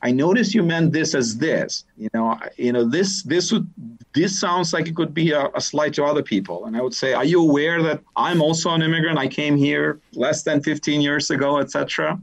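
Albanian-accented man, halting thoughtful talk. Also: on the high side, poor audio. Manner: thoughtful, many restarts and repeated words. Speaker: interview guest, professor. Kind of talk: conversational interview.

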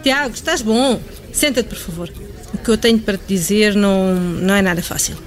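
A woman's voice: mid-range voice, a little fast, soft background music, natural voice. A woman playing a businesslike, unbending newsroom boss in a comedy radio play, matter-of-fact, with a European Portuguese accent. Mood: firm.

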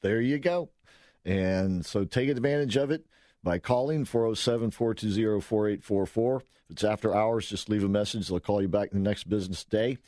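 A man's voice: fairly low pitch, moderate pace, warm tone, dry recording, clean studio sound. A man hosting an interview show, easygoing and conversational as he brings on a guest. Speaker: radio show host.

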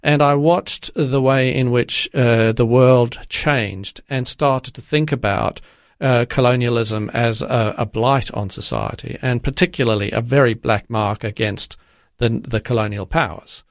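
An older man from Zimbabwe answering interview questions in a halting way, with many restarts and fillers. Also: mid-range voice, no echo, clean studio sound. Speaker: guest.

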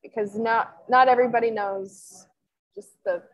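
A woman's voice: on the high side, steady tone, moderate pace, dull audio. Chatty young woman with lots of fillers. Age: 20s. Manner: chatty, rambling, many fillers. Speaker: sports columnist. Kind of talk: casual interview answers.

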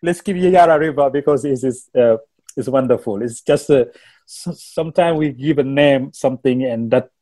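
A man from Senegal with a Senegalese accent, whose first language is Wolof, telling stories as a musician guest in a casual interview, halting and repeating words. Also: mid-range voice, dark tone.

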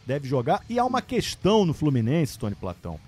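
Animated higher-voiced football podcast host. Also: male voice, fairly quick, breathy edge, faint music behind. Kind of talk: casual moderated football chat.

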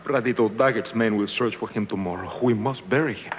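An actor playing a worried, loyal older family friend in a 1950s radio drama. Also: male voice, on the low side, brisk, a little hiss or hum, close to an everyday voice. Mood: anxious. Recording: muffled, reverberant old 1950s radio recording.

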